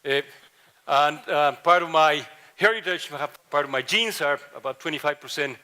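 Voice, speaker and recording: A man's voice: a little high, moderate pace, bright, forceful tone, smooth. A middle-aged man from Mexico and Ecuador with a Latin American Spanish accent, giving a conference panel talk with many fillers and restarts. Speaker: professor, panel speaker.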